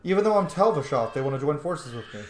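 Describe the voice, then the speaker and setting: A man's voice: on the high side, expressive, fast talker, roomy sound. A man giving casual gameplay commentary with offhand reactions as he plays. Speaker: video game streamer. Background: faint game music.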